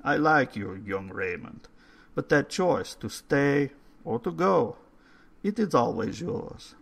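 A man voicing an old undertaker who speaks in a formal, slow and ominous way. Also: medium pitch, expressive, gravelly, fairly bright tone, breathy edge, voice pushed up, exaggerated voice. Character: old Hungarian male undertaker, courtly and eerie.

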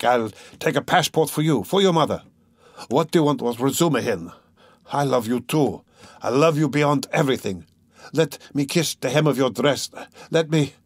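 A man's voice: mid-range voice, no echo, pitched above the performer's own voice. A man voicing an obsessive, menacing tormentor in an audiobook, feverish, insinuating and emphatic. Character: obsessive, menacing male tormentor, pleading then coldly mocking. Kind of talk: dramatic audiobook character reading.

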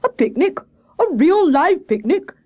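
A woman voicing a vain, melodramatic, excitable male hare in a children's story, with a theatrical, indignant, wailing manner. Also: on the high side, normal speed, muffled recording, voice pushed up. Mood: excited, breezy.

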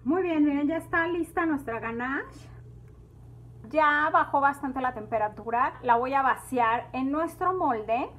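High-voiced woman hosting a home cooking show. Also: a little slow, a bit bright. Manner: chatty, talks viewers through each step. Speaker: cooking channel host. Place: home kitchen.

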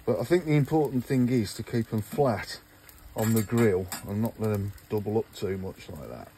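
A man's voice: on the low side, animated, normal speed, dark tone, a little hiss or hum, rough recording. An older man hosting a casual outdoor cooking vlog, chatting to viewers as he cooks at a garden grill. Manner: chatty, talks to viewers while cooking, says "look" often.

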